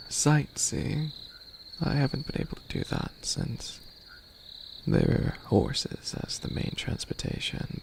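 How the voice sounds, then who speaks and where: Centuries-old skeleton demon lord for ASMR roleplay, male actor: very gravelly, unhurried, soft and half-whispered, courtly, hesitant, quaintly curious about humans. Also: on the low side, a little hiss or hum, exaggerated voice. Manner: soft-spoken, partly whispered, hesitant, old-fashioned formal wording.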